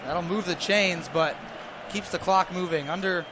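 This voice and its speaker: A man's voice: high-pitched, unhurried. An American man doing live play-by-play radio commentary on a football game, describing the action in clipped phrases as it unfolds. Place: stadium broadcast position. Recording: noisy stadium broadcast with crowd roar.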